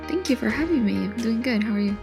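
Gravelly-voiced woman chatting as a guest. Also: a little high, fast talker, faint music behind. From Bolivia. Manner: relaxed, chatty, with frequent fillers and soft laughs. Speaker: podcast guest. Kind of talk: casual interview chat.

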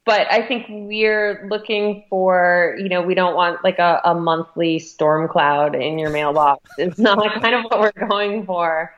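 A woman with a fairly low voice chats casually in an interview. She speaks informally and works out her thoughts as she talks. The recording is a little dull and lo-fi. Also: steady tone, unhurried, few pauses. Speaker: magazine editor being interviewed.

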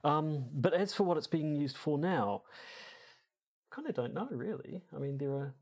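A man's voice: a little high, lively intonation, darkish tone. Hesitant man thinking aloud on a podcast. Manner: hesitant, halting, thinking aloud with many false starts. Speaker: podcast co-host. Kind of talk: casual discussion.

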